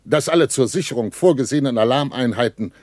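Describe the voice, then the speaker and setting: Actor as a dry, weary army captain. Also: male voice, medium pitch, quick pace, darkish tone, dry recording, natural voice. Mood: urgent, commanding. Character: weary, sceptical army captain commanding a bridgehead. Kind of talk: 1960s German radio play acting.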